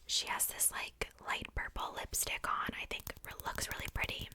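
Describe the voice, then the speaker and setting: A woman whispering softly in a casual, chatty ASMR style, rambling along with conversational asides. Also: moderate pace. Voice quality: soft unvoiced whisper. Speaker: ASMR video host showing a doll collection.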